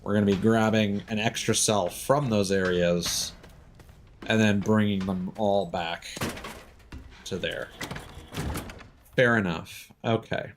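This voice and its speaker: Low male voice, game streamer, casual commentary, thinking aloud, faint background noise. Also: steady tone, slow pace, pauses often. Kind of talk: casual live gameplay commentary.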